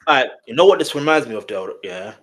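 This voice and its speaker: A young man talks through a song reaction in an excitable, chatty way. His voice sits at a middle pitch and sounds a little nasal. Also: fast. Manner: excitable, chatty, keeps stopping the track to explain. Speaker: music reaction host. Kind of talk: casual live reaction commentary.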